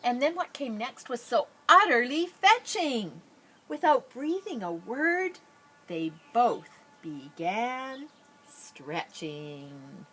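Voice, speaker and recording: Woman reading a picture book, very animated. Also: a little high, breathy edge. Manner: drawn-out dramatic phrasing with playful asides. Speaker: storybook reader. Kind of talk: expressive children's picture-book read-aloud.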